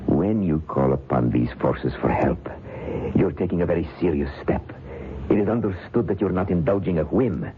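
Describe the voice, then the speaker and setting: An actor playing a suave, sinister, condescending occultist in an old-time radio drama, speaking with pompous, silky formality. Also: male voice, low voice, animated, normal speed. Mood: smug. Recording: muffled, hissy old radio drama recording.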